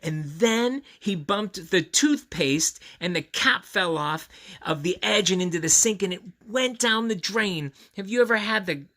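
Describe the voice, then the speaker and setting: A man hosting a children's story time, reading aloud with gentle, rambling asides and questions to his young listeners. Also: high voice, expressive, unhurried, recorded from a distance. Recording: roomy echo, clear background noise.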